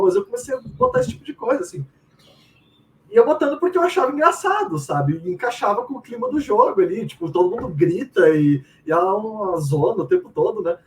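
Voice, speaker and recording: A Brazilian man with a notably high, very lively voice talks informally as an interview guest, full of slang and quoted asides. He sounds set back from the microphone, with faint noise around him. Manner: informal, slangy, often quoting own thoughts. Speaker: game writer, interviewee. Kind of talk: casual chat, animated anecdotes. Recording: distant mic with faint room noise.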